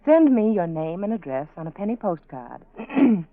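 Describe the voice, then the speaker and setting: An American woman reading a scripted 1940s radio advertisement as the sponsor's spokeswoman, measured and persuasive. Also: mid-range voice, very animated, dry recording. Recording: narrow, phone-like 1940s radio broadcast.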